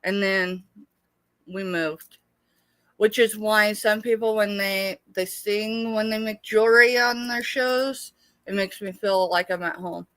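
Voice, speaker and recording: A woman's voice: mid-range voice, steady tone, slow, fairly bright tone, clear, resonant tone, no echo. A middle-aged American woman hosting a casual live sale, chatty and rambling as she talks familiarly with her viewers. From United States, born in Texas. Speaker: live sale host and small seller.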